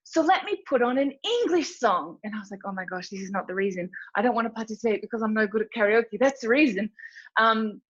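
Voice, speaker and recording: A woman's voice: a little high, lively intonation, fast, fairly bright tone. An Australian woman giving a chatty, informal webinar presentation, telling stories with lots of fillers. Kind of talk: casual presentation talk. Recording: dull lo-fi webinar audio.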